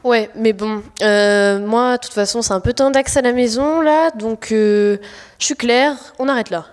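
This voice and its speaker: A woman's voice: a little high, measured pace, clean tone, natural voice. A teenage girl playing a blunt, exasperated married woman in a comic audio drama, hesitant and slangy. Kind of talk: comic audio fiction acting. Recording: echoey hall reverb.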